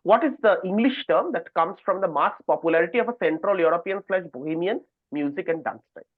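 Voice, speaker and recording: A man with a noticeably high-pitched voice hosts a quiz over a narrow, phone-like call. The sound is dry, without room echo. He reads questions at length and moderates with informal asides. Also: fast talker. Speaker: quiz host.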